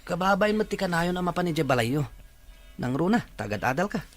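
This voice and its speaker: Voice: medium pitch, animated, fairly quick, soft background music, natural voice. An actor voicing a reluctant, weary, defensive teenage boyfriend in an audio drama, with an Ilocano Filipino accent.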